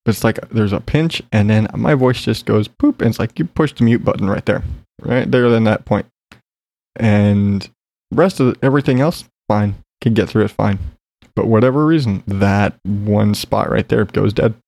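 A man's voice: lowish voice, moderate pace, vocal fry, darkish tone, no room sound. A man talking in a casual solo podcast, rambling with lots of fillers and casual swearing, still congested after being sick. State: recovering from illness, congested.

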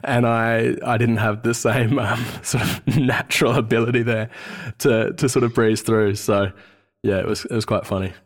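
A man's voice: mid-range voice, steady tone, normal speed. An Australian man in his thirties chatting casually as a podcast guest, hesitant and searching for his words. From Australia, born in New Zealand. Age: 30s. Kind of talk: casual interview chat.

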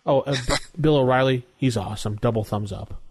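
A man's voice: mid-range voice, brisk, darkish tone, husky, no echo. Man making dry sarcastic quips. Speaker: podcast co-host. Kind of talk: casual podcast chat.

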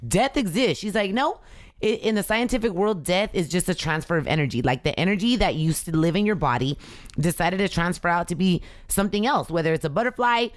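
Raspy-voiced woman with an L.A. lilt. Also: fairly low pitch, a little fast, no echo, studio quality. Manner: animated, laughing storyteller. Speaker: podcast guest. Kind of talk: casual chat.

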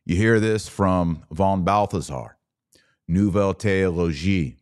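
A man's voice: deep voice, unhurried, a little mellow, clear, resonant tone. A man hosting a podcast, giving a blunt, informal religious commentary in an emphatic, preachy way. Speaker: podcast host.

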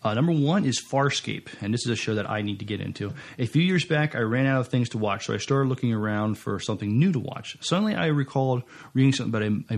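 A man co-hosting a podcast, speaking casually and reading out listener messages. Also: medium pitch. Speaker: podcast co-host.

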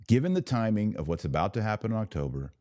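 A man with an American accent and a low-pitched voice hosts a live commentary podcast. He talks straight to his viewers in an emphatic way, repeating words to drive points home. The sound is dry, with very little room in it. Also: big pitch swings, fast, darkish tone, dry recording. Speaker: podcast host and religious commentator.

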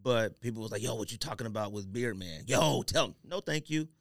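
A man hosting a podcast in casual banter, talking in an animated, slangy, emphatic way. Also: mid-range voice, fairly quick, vocal fry, no room sound, studio quality. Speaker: podcast host.

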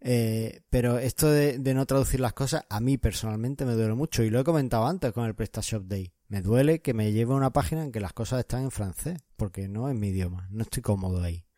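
A man's voice: medium pitch, fairly quick, few pauses, warm tone, no echo, studio quality. A man from co-hosting a podcast, explaining and advising listeners in casual chat. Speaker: podcast co-host.

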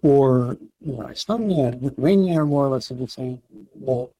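A man answering interview questions about history, measured and explanatory, often checking in with "right?". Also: medium pitch, expressive, warm tone, a little hiss or hum. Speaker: historian guest.

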